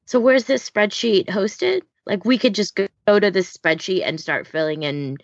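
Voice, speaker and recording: A woman's voice: medium pitch, animated, moderate pace, creaky, no room sound. A woman co-hosting a casual podcast chat, heard on dull lo-fi audio. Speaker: podcast co-host.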